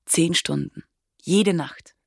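An actress dubbing a playful young woman with a husky voice, answering with teasing quips. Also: on the low side, dry recording, clean studio sound, full character voice. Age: young adult. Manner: teasing, quick replies. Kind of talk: film dub.

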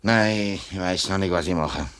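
A man's voice: low-pitched, moderate pace, noisy background, close to an everyday voice. An actor playing an upright, plain-spoken middle-aged union man with a Swiss German accent in a 1950s radio play. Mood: troubled. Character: upright, outspoken workman and union man, family father.

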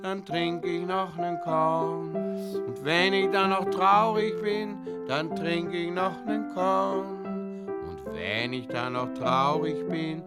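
A man's voice: a little high, takes it slowly. A man playing a tipsy, stubborn fellow singing a drinking song in an audio musical, with music behind. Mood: merry, stubborn.

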